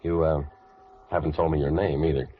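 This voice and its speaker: Low, husky tough guy. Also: fairly even intonation, a little fast. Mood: sardonic. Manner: slangy, sardonic, commanding. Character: cynical tough-guy drifter holding a gun, wisecracking. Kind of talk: 1940s radio noir acting. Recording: dull lo-fi vintage radio recording.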